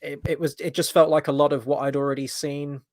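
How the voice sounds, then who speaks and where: A young man co-hosting a casual chat, speaking in a measured, hesitant, thoughtful way. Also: on the high side, flat delivery, a little mellow, dry recording, clean studio sound. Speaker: co-host.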